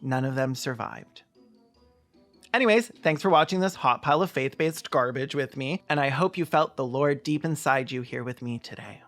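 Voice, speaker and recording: A man's voice: medium pitch, normal speed, a bit bright, soft background music. A man giving comedic film commentary in a sarcastic, campy, theatrical manner. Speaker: video commentator.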